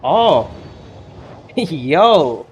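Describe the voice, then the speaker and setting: A man's voice: on the high side, very animated, drawn-out words, muffled recording, slight background noise. A man streaming a game as a VTuber, chatting casually with a friend, laughing often and reacting to game results. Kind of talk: casual gaming stream chat.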